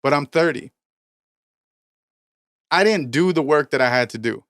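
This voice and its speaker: A man's voice: on the high side, lively intonation, moderate pace, fairly bright tone, dry recording, clean studio sound. A thirty-year-old man co-hosting a music business podcast in casual chat, ranting emphatically and swearing often. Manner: emphatic, profane, preachy motivational rants. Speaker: podcast co-host, rapper.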